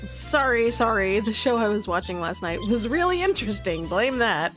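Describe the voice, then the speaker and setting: A woman's voice: mid-range voice, animated, normal speed, a bit bright, soft background music, natural voice. A woman playing an easygoing, wry teenage boy who narrates his day in a visual novel game. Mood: apologetic.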